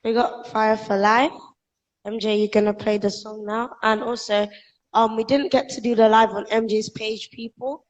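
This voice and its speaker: A woman's voice: fairly high pitch, level tone, normal speed, lo-fi sound. A young adult woman hosting a casual live interview, chatting informally and a little hesitantly. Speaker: show host, interviewer. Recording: echoey, long room reverb.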